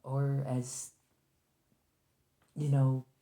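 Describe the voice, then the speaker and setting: In a roomy, echoing space, a man plays a nervous agent of a secret organization in an ASMR roleplay. The voice is soft-edged and dark, with a gravelly fry, and it moves haltingly and politely, always trying to smooth things over. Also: medium pitch, monotone. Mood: hesitant, bargaining. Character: nervous, conciliatory male agent of a secret organization negotiating with a creature. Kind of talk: ASMR roleplay, improvised one-sided conversation.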